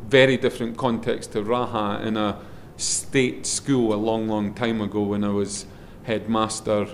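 Loud-voiced middle-aged man talking easily. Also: lowish voice, level tone, a little slow, slight background noise. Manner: rambling, with frequent ums and restarts. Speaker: guest, head of a schools group. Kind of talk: relaxed conversational interview.

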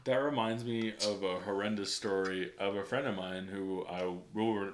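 A man's voice: low voice, fairly even intonation, moderate pace. A man co-hosting a podcast, teasing his friends and laughing hard. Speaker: podcast co-host. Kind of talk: casual chat.

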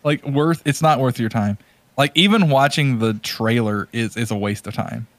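A man's voice: medium pitch, moderate pace, dry recording. A man chatting casually as a podcast co-host, informal and opinionated. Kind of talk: casual podcast chat about movies.

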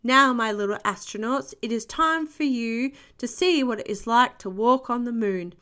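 A woman puts on a hearty old grandpa rabbit astronaut from a children's storybook. He is rousing and booming, yet high in pitch, like a mission leader. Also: moderate pace, a bit bright, clean tone, lightly acted. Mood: instructive, excited.

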